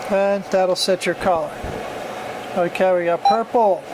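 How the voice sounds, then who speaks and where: Man, high-pitched voice, informal gadget walkthrough, plainspoken, very noisy amateur recording. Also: steady tone, measured pace. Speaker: product reviewer demonstrating a CB radio. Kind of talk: casual hands-on demonstration. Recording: noisy amateur recording, loud background hum.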